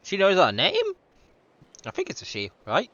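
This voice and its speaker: A woman's voice: on the low side, huge pitch swings, moderate pace, a bit bright, no echo, dull audio. A woman giving casual gameplay reactions in an offhand, sweary way. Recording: game music behind the voice.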